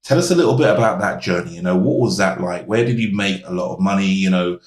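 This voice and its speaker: Deep-voiced host in an echoey room. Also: male voice, fast. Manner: leans on "you know" while asking. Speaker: podcast host. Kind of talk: interview questions.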